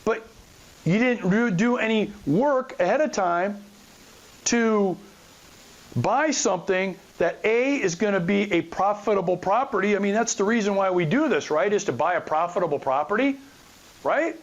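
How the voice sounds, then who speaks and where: Male podcast host, high voice, blunt and colloquial, emphatic advice monologue. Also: lively intonation, normal speed, lo-fi sound. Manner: emphatic, repeats phrases and asks rhetorical questions. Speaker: podcast host and real estate investor. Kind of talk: informal advice monologue to listeners.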